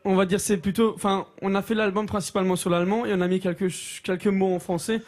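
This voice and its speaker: A man's voice: high voice, level tone, quick pace, darkish tone. A young man from Alsace, a guest singer on a TV talk show, answering casually with many fillers and restarts. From Alsace, France. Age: young adult. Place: TV studio.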